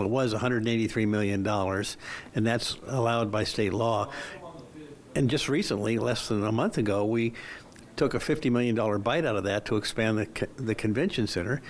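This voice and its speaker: A man's voice: medium pitch, slight background noise. A man running for city council, giving firm, opinionated answers in a news interview.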